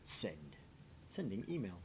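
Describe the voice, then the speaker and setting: Male game read-along co-host, very lively intonation, jokey and theatrical, faint rumbly noise. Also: takes it slowly. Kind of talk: animated reading of game dialogue with casual banter.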